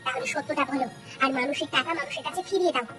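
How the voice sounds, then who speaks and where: A woman's voice: sits high, racing, far from the mic, lightly acted. A woman voicing a righteous, resolute wife in a cartoon drama, firm and accusing. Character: righteous, resolute wife confronting a crooked man. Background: music.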